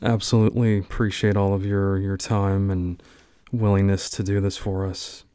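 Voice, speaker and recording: A man's voice: low voice, little pitch movement, normal speed, dark tone, smooth, no room sound, clean studio sound. A man hosting a university podcast roundtable, introducing guests and asking questions with plenty of filler words. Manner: friendly, filler-heavy interviewer. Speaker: podcast host.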